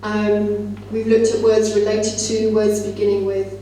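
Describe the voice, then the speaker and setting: A woman's voice: mid-range voice, monotone, unhurried, dark tone. Female conference speaker, teacher trainer, conversational and explanatory, heard from afar in a very reverberant room. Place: large indoor conference room. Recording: echoey hall, distant mic, faint hum.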